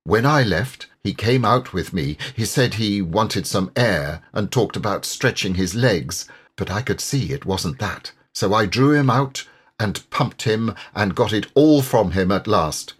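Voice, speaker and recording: A man's voice: fairly low pitch, lively intonation, unhurried, slightly rough. A man voicing a kindly water rat for an audiobook, a grave and earnest friend full of concern. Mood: anxious, sympathetic. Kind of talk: audiobook character voice.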